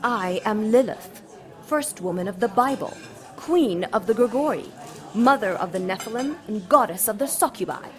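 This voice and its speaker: A woman's voice: medium pitch, animated, normal speed, natural voice. A woman voicing a seductive, imperious demon queen in an audio drama, coy, mocking and theatrical. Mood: haughty, indignant. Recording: crowd chatter behind the voice. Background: crowd chatter.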